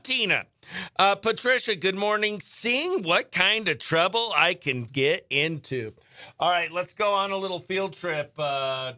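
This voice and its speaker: A man with a notably high, bright voice hosts a livestreamed radio show. He talks in a breezy, exclamatory way, moving between casual chatter and excitable shout-outs to his audience. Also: smooth. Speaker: radio host.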